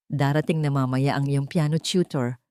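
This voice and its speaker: A woman's voice: extremely low pitch, fairly even intonation, normal speed, warm tone, smooth, no echo, studio quality, pitched below the performer's own voice. A Filipino woman voicing a cold, elegant elderly grandmother and matriarch who speaks sweetly but with authority, in a narrated story. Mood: sweetly commanding.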